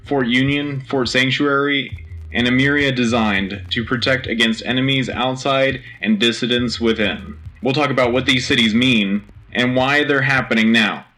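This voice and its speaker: A man's voice: medium pitch, bright, forceful tone, lightly acted. A man playing a frontline war correspondent hosting a scripted wartime radio news broadcast. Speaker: radio host.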